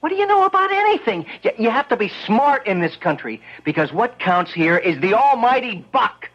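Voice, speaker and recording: A man's voice: high-pitched, very animated, moderate pace. An actor playing a scornful, ambitious young son who brushes off his father. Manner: sneering and dismissive. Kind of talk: 1970s radio drama acting. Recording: dull lo-fi radio broadcast.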